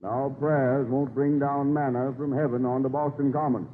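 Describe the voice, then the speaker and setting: A man's voice: medium pitch, little pitch movement, moderate pace, big-room sound, narrow, tinny audio, lightly acted. An actor playing a dignified Virginia statesman and father in a 1930s radio drama, speaking in a formal, oratorical way. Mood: formal, urgent. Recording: thin, noisy 1930s radio recording.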